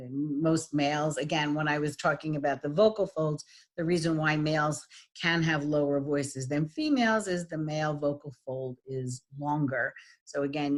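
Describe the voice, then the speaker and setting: A middle-aged woman with a husky voice, giving a recorded lecture as a voice and speech teacher, with frequent fillers. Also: extremely low pitch. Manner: demonstrates voice types, lots of fillers.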